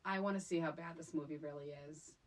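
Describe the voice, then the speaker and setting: A woman's voice: low-pitched, fairly even intonation, fast talker. A middle-aged American woman co-hosting a casual film podcast, speaking in a wry, emphatic way. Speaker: podcast co-host.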